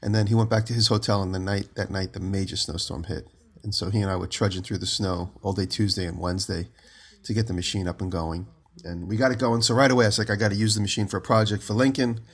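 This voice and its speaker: A man co-hosting a podcast, just out of bed with his voice lower than usual, telling long rambling stories from home over a remote call. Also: fairly even intonation, fast, slightly rough. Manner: rambling storyteller, giggly. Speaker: podcast co-host. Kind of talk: casual chat.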